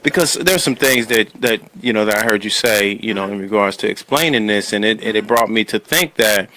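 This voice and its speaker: A man's voice: on the low side, brisk, pushed and bright, a little hiss or hum, low-quality recording. A man hosting a casual conversational interview, rambling and emphatic, often checking that listeners follow him. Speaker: talk show host.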